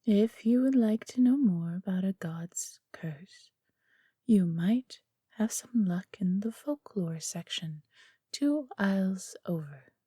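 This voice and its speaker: A woman voicing a helpful stone golem librarian in a fantasy audio roleplay, speaking in a stiff, formal, measured way. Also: medium pitch, expressive, warm tone, slightly rough, dry recording, clean studio sound. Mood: flat, dutiful.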